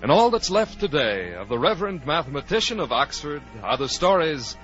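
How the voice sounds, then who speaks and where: A man narrating as a 1940s radio announcer, with a crisp scripted delivery and a forceful, animated voice. Music plays faintly behind him on a dull old recording. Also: fairly high pitch, brisk.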